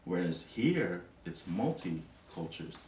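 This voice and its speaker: A man from Tonga speaks in a low, deep voice. He talks in a casual, reflective way and often searches for words with "you know". He is recorded from a distance in an echoey room, with faint noise behind him. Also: very animated, slow, darkish tone. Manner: thoughtful, many "you know" fillers. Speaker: interviewee.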